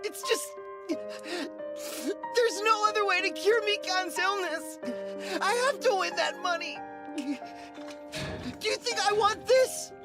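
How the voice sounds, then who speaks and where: A woman's voice: very high-pitched, slow pace, pauses often, loud music behind the voice. A woman playing an earnest, emotional young kid fighter in an anime dub, with a plaintive, impassioned delivery. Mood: tearful, desperate.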